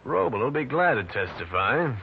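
A man's voice: mid-range voice, sing-song, moderate pace, gravelly, a little hiss or hum. A man playing a tough, wry, unruffled private detective with an American accent, in an old-time radio drama. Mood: accusing, cool. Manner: wry, unruffled, hard-boiled. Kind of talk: 1940s or 1950s radio detective acting. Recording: muffled old radio recording.

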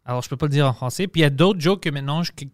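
A man's voice: on the high side, racing, no room sound, clean studio sound. Man chatting in second-language French. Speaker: podcast host and stand-up comedian. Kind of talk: casual chat.

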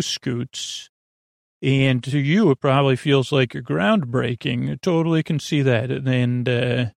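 Creaky-voiced man rambling on a sleep podcast. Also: medium pitch, no echo, clean studio sound. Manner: halting, tangential, repeats and trails off mid-thought. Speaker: podcast host.

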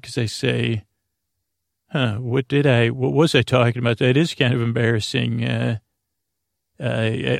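A man hosts a sleep podcast with a heavily creaky voice, recorded close and dry. He meanders soothingly from one thought to another, gently poking fun at himself as he loses his thread. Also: mid-range voice, no room sound. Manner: meandering, self-deprecating, soothing, easily distracted. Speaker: podcast host. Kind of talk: rambling bedtime podcast monologue.

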